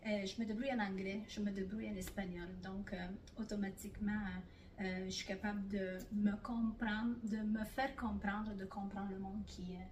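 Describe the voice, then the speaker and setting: A middle-aged woman from Italy speaks French with a clear Italian accent. Her speech is casual and hesitant, full of fillers. She sounds set back from the mic in a roomy space with faint background noise. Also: medium pitch, flat delivery, normal speed, darkish tone, distant mic. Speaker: guest. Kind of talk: casual interview.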